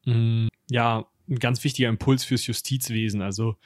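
A man's voice: mid-range voice, fairly even intonation, moderate pace, dry recording, clean studio sound. A man discussing history as a podcast co-host in a casual, colloquial way, with slangy turns and joking asides.

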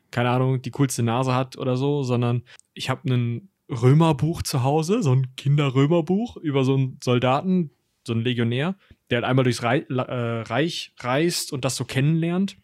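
A man's voice: mid-range voice, darkish tone, dry recording, clean studio sound. A man discussing history as a podcast co-host in a casual, colloquial way, with slangy turns and joking asides.

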